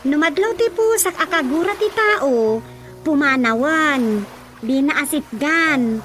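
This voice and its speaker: An actress with an Ilocano accent playing a spirited, sharp-tongued young village woman in a radio drama comedy, with music behind her. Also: high-pitched, lively intonation, takes it slowly. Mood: lecturing. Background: background music.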